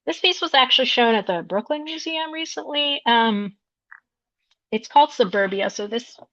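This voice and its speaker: Woman, from Peru, artist talk, explanatory, unhurried, creaky voice, dull lo-fi. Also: a little high, expressive, sharp tone, no echo. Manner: explanatory, unhurried, searching for words. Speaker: visual artist. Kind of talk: artist talk walking through slides of her work. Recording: dull lo-fi recording, dry.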